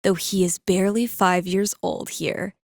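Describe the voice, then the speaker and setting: An actress playing a young female game master in a video game, narrating dark fantasy in a suspenseful, theatrical way. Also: mid-range voice, steady tone, a little slow, vocal fry, no echo, clean studio sound. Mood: ominous. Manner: suspenseful, portentous.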